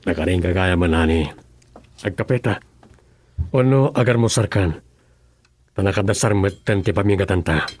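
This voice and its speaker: An actor in an Ilocano radio drama playing an earnest male governor who speaks calmly and sincerely. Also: sits low, normal speed, long pauses. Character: earnest adult male governor devoted to public service.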